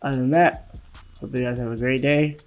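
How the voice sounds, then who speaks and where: A man talks through a game commentary rant in a medium-pitched voice that sounds nasal from a sore throat. He is just getting over being sick. His tone swings between calm explanation and exasperated complaint. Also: brisk. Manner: ranting, exasperated, rising and louder when annoyed. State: sore throat, recovering from illness. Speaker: gaming YouTuber.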